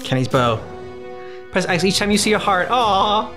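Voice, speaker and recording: A woman's voice: mid-range voice, expressive, moderate pace. A young woman, casually reacting to a video game as a host. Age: young adult. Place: small room indoors on a couch. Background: game audio with faint music.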